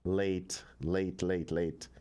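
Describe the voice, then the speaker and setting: A man with a deep, mellow voice chats casually. He keeps agreeing and offers an encouraging point of view. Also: little pitch movement, drawn-out words, clear, resonant tone. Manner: supportive, lots of short agreeing replies. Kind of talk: casual chat.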